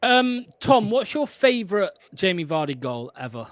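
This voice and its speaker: A man hosting a call-in fan show, lively and matey, calling guests mate. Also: high-pitched, moderate pace. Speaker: host.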